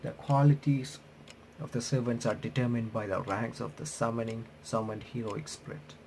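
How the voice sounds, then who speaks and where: A man's voice: medium pitch, fairly even intonation, normal speed, vocal fry, a little mellow, slight background noise. A man doing a game playthrough, reading on-screen text aloud with casual commentary, often stumbling and correcting himself. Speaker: host of a game playthrough and reaction channel.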